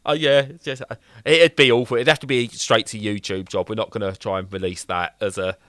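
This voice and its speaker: An English man co-hosting a gaming podcast, bantering in an animated, joking way with lots of quick restarts. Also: on the low side, dry recording, studio quality. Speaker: podcast co-host. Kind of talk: casual banter.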